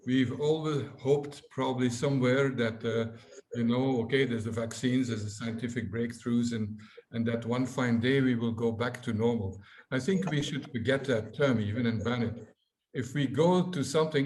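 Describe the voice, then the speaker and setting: A man's voice: mid-range voice, darkish tone. A man for whom English is not his first language, answering on a webinar panel in a thoughtful way over a video call. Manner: thoughtful, many fillers and restarts.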